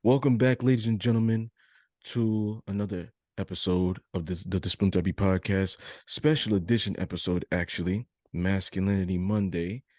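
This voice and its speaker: A man's voice: low-pitched, fairly even intonation, moderate pace, darkish tone, dry recording, studio quality. A man hosting a podcast, interviewing in an informal, conversational way. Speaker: podcast host.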